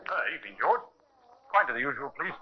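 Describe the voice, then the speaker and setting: Guy playing friendly victorian pub customer, lowish swinging voice, muffled old radio play. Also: expressive, fairly quick, over loud music, close to an everyday voice. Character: friendly male Victorian pub regular, chatty. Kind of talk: 1950s radio acting. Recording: muffled 1950s radio recording.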